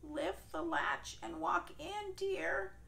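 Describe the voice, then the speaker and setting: A woman's voice: very high-pitched, takes it slowly, vocal fry, bright, forceful tone, echoey room, voice pushed up, heavily acted. A woman voicing a frail, unwell old grandmother calling from bed.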